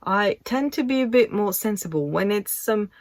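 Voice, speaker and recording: A woman's voice: medium pitch, expressive, darkish tone, no room sound. A woman in her thirties with a French accent, talking casually to camera in English about sharing her work online, thoughtful and given to restarts. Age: 30s. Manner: slow, reflective, trails off and restarts. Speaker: vlogger.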